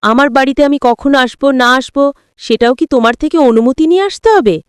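A woman's voice: high voice, normal speed, clean tone, dry recording, studio quality, voice pushed up, close to an everyday voice. A woman storyteller voicing a teasing, touchy young husband who needles with questions in an audio story. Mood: irritated. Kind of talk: acted dialogue in an audio story.

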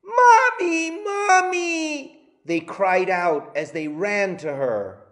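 A middle-aged man reading aloud to children as a teacher, in a playful, deliberate, expressive way. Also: fairly high pitch. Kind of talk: children's story time read-aloud.

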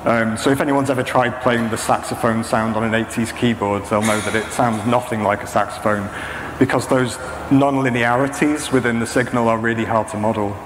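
A man's voice: mid-range voice, steady tone, fairly quick. A man, around his thirties, giving an informal technical talk in a hesitant, explanatory manner. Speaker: presenter, saxophonist and machine learning practitioner. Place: indoor stage at a talk venue, before a projection screen. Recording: echoey hall, clearly noisy background.

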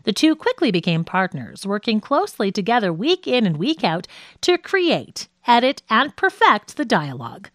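A woman's voice: medium pitch, very animated, moderate pace, fairly bright tone, no room sound, clean studio sound. A woman reading scripted nonfiction narration for an audiobook.

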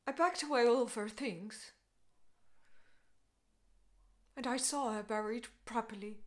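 A woman performing a stage monologue as a grieving, bitter mother confronting her ex-husband, speaking in deliberate, emotionally intense phrases. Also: a little high, close to an everyday voice. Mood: bitter, controlled.

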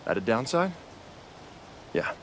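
An actor with an American accent playing a cautious, exasperated lawman in his thirties, reasoning in a measured, sardonic way in a video game. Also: mid-range voice, very animated, noisy background. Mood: wry, cautioning. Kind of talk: video game cutscene acting.

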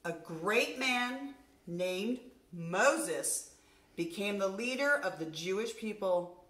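A middle-aged woman, a nursery school teacher, reading a story aloud to young children in an expressive, deliberate way. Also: medium pitch. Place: furnished room at home, indoors. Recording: roomy indoor echo.

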